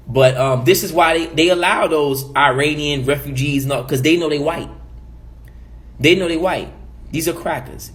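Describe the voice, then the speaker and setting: Brief: emphatic male vlogger from the Caribbean, moderately high voice, preachy commentary with repeated points. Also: a bit bright.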